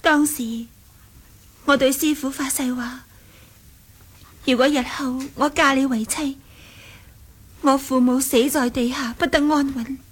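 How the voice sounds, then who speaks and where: A woman's voice: high voice, steady tone, measured pace, pauses often, breathy edge. A woman with a Hong Kong Cantonese accent playing a jealous, reproachful young martial-arts heroine in a radio drama. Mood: grave, sorrowful.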